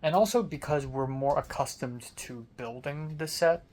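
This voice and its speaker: A man's voice: a little high, moderate pace, a little mellow. A young adult man talking in a casual, rambling personal diary style, informal and conversational, swearing mildly. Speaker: film student vlogger.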